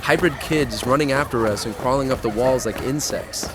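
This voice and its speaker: A man's voice: medium pitch, moderate pace, background noise, close to an everyday voice. A man playing a frightened, naive young man in a horror audio drama, hesitant and anxious. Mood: tense narrating. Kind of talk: horror audio drama acting with first-person narration.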